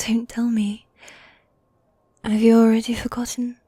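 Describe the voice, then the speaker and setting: A woman playing a teasing, needy yet dominant goth demon girlfriend in a fantasy ASMR roleplay, soft and breathy, often whispering, close to the mic. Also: fairly high pitch, dry recording. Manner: teasing, coy, affectionate, with sighs. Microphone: close, dry microphone.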